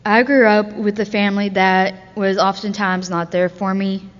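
A woman's voice: medium pitch, little pitch movement, normal speed, faint music behind. An eighteen-year-old woman from Ukraine speaking earnestly and a little hesitantly about her childhood at a meeting. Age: late teens. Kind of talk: personal recovery talk. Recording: muffled recording in a large hall.

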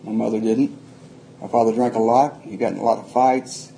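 A man's voice: fairly low pitch, little pitch movement, normal speed, vocal fry, warm tone, a little hiss or hum, rough recording. An older man, telling his life story plainly to a group, rambling and restarting often. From Atlanta, Georgia, USA. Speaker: recovery speaker.

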